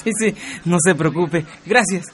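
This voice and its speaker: An actor with a Peruvian accent playing a carefree, naive young mototaxi driver in a radio drama. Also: male voice, sits high, measured pace. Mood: eager, grateful. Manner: breezy, casual.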